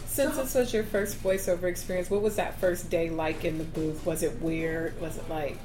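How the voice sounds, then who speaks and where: A woman interviewer asking lively, playful questions. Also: lowish voice, moderate pace, over music. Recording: noisy background.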